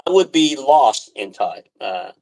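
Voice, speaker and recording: A man from Taiwan hosting a casual live chat, talking in a halting, rambling way with frequent throat clearing. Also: medium pitch, very animated, darkish tone, rough recording. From Taiwan, left as a little boy. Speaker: live stream host.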